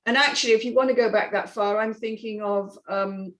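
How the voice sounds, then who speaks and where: A woman's voice: medium pitch, steady tone, fairly quick, a bit bright, rough recording. A woman moderating a casual feedback discussion, speaking informally and calling on others.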